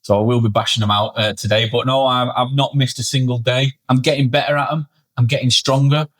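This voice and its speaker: A man's voice: medium pitch, fairly even intonation, fairly quick, fairly bright tone. Tired, blunt man co-hosting. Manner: blunt, swears casually, self-deprecating. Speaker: podcast co-host. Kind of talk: casual chat. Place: indoor studio setup, seated.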